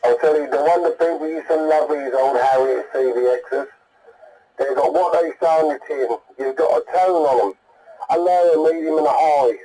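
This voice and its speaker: Mellow British man over CB radio. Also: a little high, normal speed, rough recording. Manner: blunt, colloquial, rambling. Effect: heard over CB radio. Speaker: CB radio enthusiast calling in. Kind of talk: casual radio chat.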